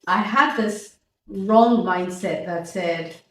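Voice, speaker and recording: A woman's voice: mid-range voice, slow pace. A woman in her fifties, hosting a podcast, talking about faith in a reflective way with frequent laughs and restarts. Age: 50s. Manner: reflective, laughs often, restarts mid-thought. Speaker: podcast host. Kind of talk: casual faith conversation. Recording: echoey room, distant from mic, faint noise.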